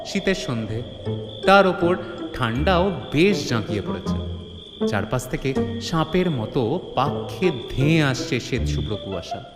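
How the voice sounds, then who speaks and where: A man's voice: mid-range voice, big pitch swings, a little slow, big-room sound, over music. A man narrating a scripted story theatrically, with suspense and wry colloquial humour.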